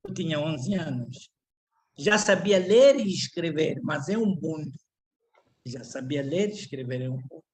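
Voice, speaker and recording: An older Angolan man speaking Portuguese with an Angolan accent, giving a reflective, halting lecture full of restarts and repeated words. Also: a little high, animated, a little mellow.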